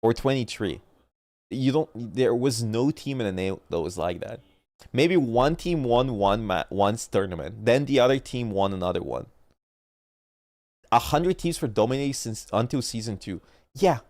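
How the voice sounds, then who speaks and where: A man's voice: mid-range voice, animated, moderate pace, darkish tone, no room sound, clean studio sound. A man streaming casually, rambling and arguing about competitive teams and often losing his thread, with a stuffy nose. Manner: rambling, argumentative, trails off mid-point. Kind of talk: casual gaming chat.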